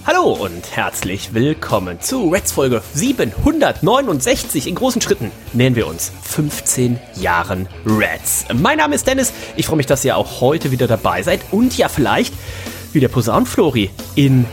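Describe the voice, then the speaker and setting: Ein Mann mit norddeutschem Akzent, der als Gastgeber locker und gesprächig plaudert, mit lebhaften Tonsprüngen. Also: male voice, moderate pace, soft background music. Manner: chatty, with lively pitch jumps. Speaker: podcast host. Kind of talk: casual chat.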